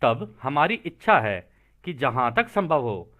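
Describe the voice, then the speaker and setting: A man's voice: mid-range voice, big pitch swings, slow pace, phone-quality sound. A man presenting a text, reading it aloud and explaining it conversationally, often repeating and rephrasing his points.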